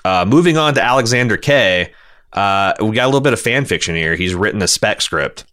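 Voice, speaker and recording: A male podcast host with a fairly low, bright voice. He talks casually and opinionatedly, with a sardonic streak and a crisp, resonant tone. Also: animated, brisk, no echo.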